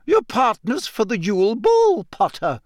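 A man's voice: very high-pitched, wildly swooping pitch, measured pace, no room sound, voice pushed up, exaggerated voice. A stern older schoolmistress, put on by a man reading aloud, with a raised, clipped voice that swoops up sharply on commands. She speaks firmly and disapprovingly, the sound dry and close, as on an audiobook. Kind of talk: audiobook character voice.

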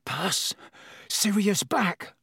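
A man's voice: high voice, animated, takes it slowly, breathy edge, no echo, studio quality, voice pushed up, heavily acted. A man voicing a terrified, insistent teenage schoolboy in an urgent, exclaiming manner for a storybook. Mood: shaken, insistent.

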